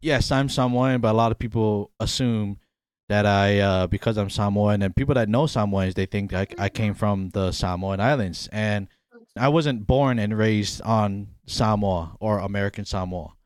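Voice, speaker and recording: Relaxed man from Hawaii, dry close sound. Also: fairly low pitch, moderate pace, clear, resonant tone, dry recording. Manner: relaxed, rambling, often says "you know what I mean". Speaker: podcast host. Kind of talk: casual podcast chat.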